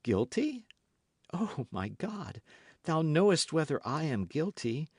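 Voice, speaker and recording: A man's voice: on the high side, sing-song, takes it slowly, breathy edge, dry recording, studio quality, pitched above the performer's own voice, exaggerated voice. A man voicing a scheming noblewoman who feigns pious, tearful meekness as she pleads. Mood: tearful pleading. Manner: pleading, sobbing, breathless. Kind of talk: audiobook character voice.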